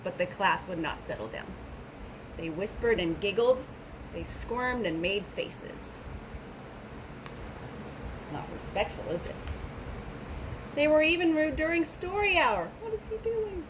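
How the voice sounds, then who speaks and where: A woman's voice: fairly high pitch, big pitch swings, measured pace, pauses often. Woman reading aloud, noisy recording. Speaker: storybook reader. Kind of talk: children's read-aloud with casual asides.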